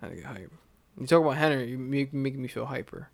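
A man co-hosting a casual podcast chat about fights, swearing freely and rambling with quick restarts. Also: medium pitch, animated, vocal fry, no room sound. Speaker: podcast co-host.

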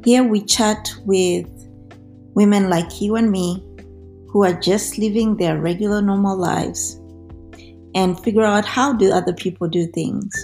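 A woman's voice: medium pitch, fairly even intonation, unhurried, a little mellow, soft background music. A woman hosting a podcast, introducing the show and chatting with a guest in an interview style. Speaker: podcast host.

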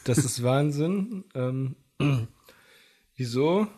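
A man co-hosting a podcast in casual banter, slightly hoarse, with a velvety voice and deadpan absurd humour, recorded through a studio mixing desk. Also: extremely slow. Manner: deadpan absurd jokes, low velvety delivery. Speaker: podcast co-host. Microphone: studio mic through mixing desk.

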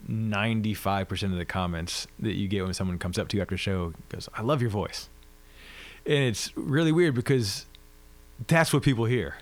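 A man with a low-register voice, a musician guest chatting easily and thoughtfully in an interview. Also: moderate pace. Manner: relaxed, thoughtful, frequent "like" fillers. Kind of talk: casual interview chat.

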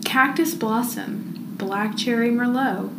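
A woman's voice: on the high side, slow. A young woman co-hosting a podcast in casual chat, with playful, dry quips. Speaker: podcast co-host. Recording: roomy echo with background noise.

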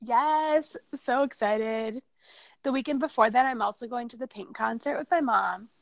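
A woman's voice: on the high side, normal speed, creaky, no echo, low-quality recording. A woman with a Minnesotan accent co-hosting a podcast, chatting in an upbeat, jokey way. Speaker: podcast co-host. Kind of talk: casual chat.

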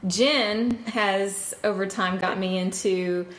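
A woman's voice: mid-range voice, steady tone, slow pace, fairly bright tone. Southern woman in an echoey room. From the Carolinas, US. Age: 30s. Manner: rambling, laughing, a little nervous. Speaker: podcast co-host. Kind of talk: casual chat. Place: home room without padding. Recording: echoey room with faint noise.

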